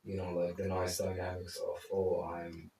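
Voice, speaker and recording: A man's voice: sits low, completely flat delivery, normal speed, creaky, dark tone. Man, from Lebanon, casual talk, many fillers, very echoey room. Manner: rambling, many fillers and restarts. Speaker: consultant. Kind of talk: casual conversation. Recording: echoey room, mic far off.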